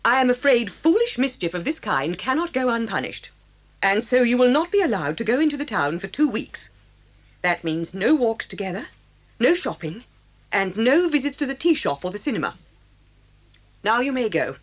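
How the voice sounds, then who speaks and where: A woman's voice: on the high side, very animated, normal speed. A woman playing a stern boarding school headmistress, speaking formally and reprovingly in an audio drama. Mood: stern, disappointed.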